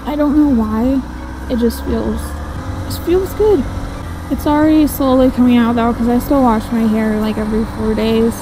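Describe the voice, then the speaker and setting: A woman's voice: on the high side, moderate pace. Chatty young woman riding a motorcycle. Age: 20s. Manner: chatty, giggly, rambling. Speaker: motovlogger. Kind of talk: casual chat while riding. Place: outdoors on a moving motorcycle. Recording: wind and engine noise.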